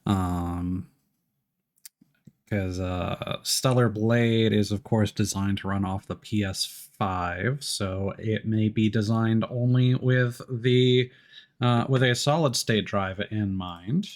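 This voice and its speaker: A man's voice: on the low side, slow pace. A man livestreaming a video game with casual, chatty and informal commentary, talking to his viewers.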